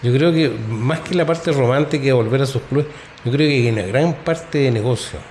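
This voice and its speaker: A man's voice: mid-range voice, moderate pace, a little mellow. A man arguing his point emphatically in a casual football chat. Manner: emphatic, argumentative. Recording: echoey room with faint noise.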